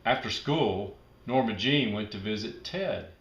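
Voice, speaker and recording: A man narrating a children's storybook aloud in an expressive, emphatic storytelling manner. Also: on the low side, a little slow, echoey room, muffled recording.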